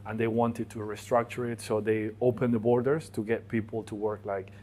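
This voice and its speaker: A young man from Colombia, a podcast guest telling his life story in casual, animated talk. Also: lowish voice, moderate pace, slight background noise. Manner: animated, laughs while telling stories.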